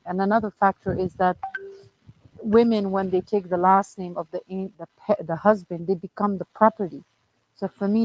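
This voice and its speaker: Woman from Afghanistan, somewhat low voice, earnest, firm explanations, personal message, lo-fi sound. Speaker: charity director, activist. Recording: muffled lo-fi voice clip.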